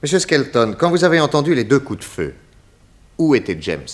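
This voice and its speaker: An actor playing a confident private detective in a 1960s French radio crime serial, speaking in a courteous, methodical and theatrical way. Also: male voice, medium pitch, sing-song, a little fast, close to an everyday voice. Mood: formal, commanding. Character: confident male private detective exposing a murderer.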